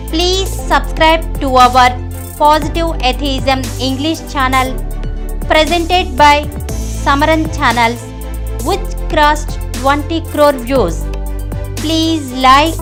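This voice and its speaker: A woman's voice: high-pitched, slow. A woman reads a scripted channel promo as an announcer, with music playing behind her.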